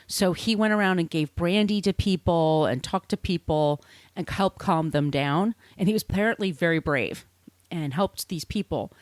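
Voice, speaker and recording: A woman's voice: lowish voice, normal speed, no room sound. A woman hosting a podcast, telling a researched story in a conversational, irreverent way with casual swearing. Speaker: podcast host.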